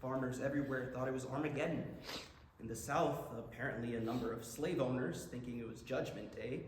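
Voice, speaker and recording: In an echoey room with faint noise, an enthusiastic young stargazer played by a man explains things in a mid-pitched voice. He is chatty and eager, as in a stage play reading. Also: brisk, lightly acted. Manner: explanatory, chatty, stumbles on words. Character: enthusiastic young amateur astronomy buff from rural Missouri.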